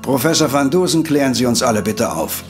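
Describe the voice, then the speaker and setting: An actor playing an impatient, grumpy police inspector in a German audio drama, brusque and official. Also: male voice, medium pitch, sing-song, brisk, faint music behind, lightly acted. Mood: exasperated.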